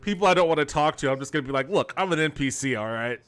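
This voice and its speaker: A man's voice: fairly high pitch, expressive, very fast, fairly bright tone, clean tone. A man doing casual gameplay commentary as a streamer, talking in an amused, incredulous, sweary way. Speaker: video game streamer and podcast host.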